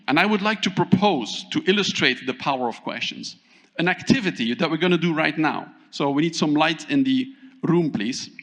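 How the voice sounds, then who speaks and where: A man from Thailand giving a conference keynote, speaking in an animated way, often turning to the audience with questions. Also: fairly high pitch. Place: large conference hall. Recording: long hall reverb.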